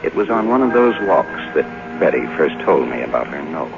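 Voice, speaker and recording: A low, very varied male voice on a dull old radio recording, a cynical young screenwriter. He sounds wry, clipped and world-weary, in vintage noir drama style. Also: big pitch swings, normal speed, music in the background. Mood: reflective, wry. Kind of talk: 1950s radio drama acting and noir narration.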